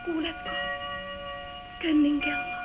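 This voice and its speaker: High-voiced, plaintive young wife played by an actress. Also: female voice, little pitch movement, loud music behind the voice, lightly acted. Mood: solemn. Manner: plaintive, slow theatrical delivery. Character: unhappy young wife, torn, wistful, weary of poverty. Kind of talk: old Finnish radio drama acting. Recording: old muffled narrow-band radio recording, hissy.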